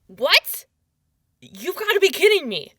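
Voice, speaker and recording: A woman's voice: very high-pitched, very animated, takes it slowly, bright, forceful tone, no room sound, studio quality, full character voice. A woman voicing an indignant town sheriff who can't believe her reprimand. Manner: indignant, incredulous.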